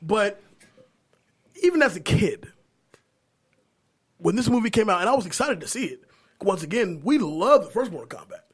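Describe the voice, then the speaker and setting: A man with a high-pitched voice hosts a casual podcast. He talks in loose, animated banter and swears freely. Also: moderate pace, long pauses, breathy edge. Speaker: podcast host. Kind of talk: casual movie podcast chat.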